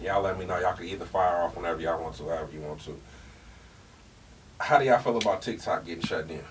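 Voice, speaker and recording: A man co-hosting a podcast, relaxed and appreciative as he asks questions. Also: deep voice, quick pace. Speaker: podcast co-host. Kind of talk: casual podcast chat. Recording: distant mic with ambient noise.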